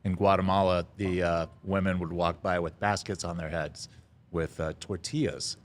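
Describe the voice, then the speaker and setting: A middle-aged man with a very low voice tells his story in a relaxed interview. He talks haltingly, filling gaps with "uh" and "um." He lets out an easy chuckle here and there. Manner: halting, lots of "uh" fillers, chuckles now and then. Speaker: restaurant founder, podcast guest.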